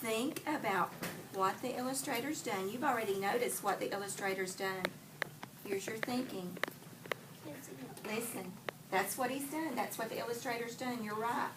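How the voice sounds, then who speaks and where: Lady teacher reading to kids, asking questions n repeating answers, highish voice, bit far from mic. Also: normal speed. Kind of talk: interactive read-aloud to children. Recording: distant mic in a noisy room.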